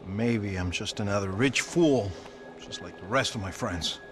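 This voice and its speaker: A man's voice: fairly low pitch, a little slow, background noise, lightly acted. An actor playing a weary, self-pitying rich Brazilian businessman in a cinematic video game. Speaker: wealthy businessman client. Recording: music behind the voice.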